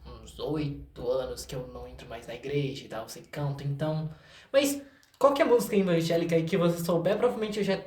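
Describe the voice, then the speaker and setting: A man's voice: fairly high pitch, a little mellow. Young Brazilian man chatting in an echoey room. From São Paulo state, Brazil. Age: young adult. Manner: rapidly repeats words and short phrases. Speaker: podcast guest, singer and songwriter. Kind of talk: casual chat.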